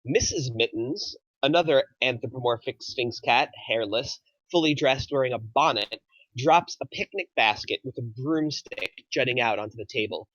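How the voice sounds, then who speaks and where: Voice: medium pitch, normal speed, fairly bright tone, no echo. A narrator reading out stage directions from a film script at a table read. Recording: dry but dull, muffled audio.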